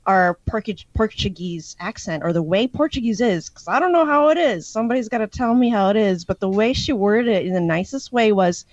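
A woman's voice: medium pitch, fairly quick, hardly any pauses, a little mellow, dry recording. A woman tells stories as a podcast guest, drifting chattily from thought to thought. Her voice comes through dull and lo-fi, with a dry sound. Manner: chatty, meandering. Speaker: musician guest. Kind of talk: casual storytelling.

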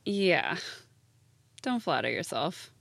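An actress playing a jaded, sarcastic high school teacher, an American woman with a blunt, deadpan manner, in a naturalistic audio drama. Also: slow, gravelly, no room sound, exaggerated voice.

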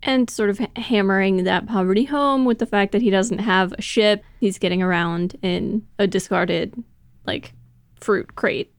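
A woman's voice: mid-range voice, normal speed, smooth, no room sound, studio quality. A woman co-hosting a podcast, giving informative commentary in casual chat with light laughs.

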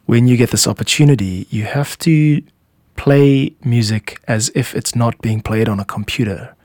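A man hosting an explanatory music podcast, speaking in measured, instructive phrases with deliberate pauses into a close microphone. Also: mid-range voice, a little mellow, no room sound. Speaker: podcast host and composer explaining own music.

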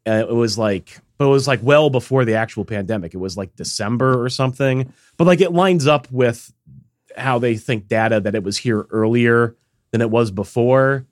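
A man co-hosting a casual podcast chat, congested and tired, talking in a rambling, halting way with many fillers. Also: mid-range voice, no room sound, clean studio sound. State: congested, recovering from illness. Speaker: podcast co-host.

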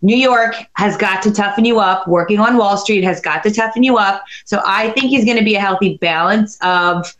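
A woman's voice: medium pitch, moderate pace, few pauses, a bit bright. A woman co-hosting a casual video-call stream, upbeat and talkative, with fillers like "like". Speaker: livestream co-host. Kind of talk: casual chat about reality TV contestants. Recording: echoey room over video call.

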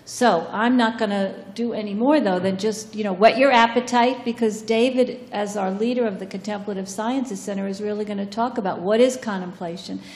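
A woman dean introducing a panel and talking about her program, pausing often on "um". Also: medium pitch, a little mellow, in a large hall. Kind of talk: panel introduction and short talk. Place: large lecture room.

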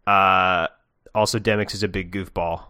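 A man's voice: low-pitched, fairly even intonation, normal speed, sharp tone, smooth, dry recording, clean studio sound. A man co-hosting a podcast, rambling playfully through his theories in casual conversation. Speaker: podcast co-host.

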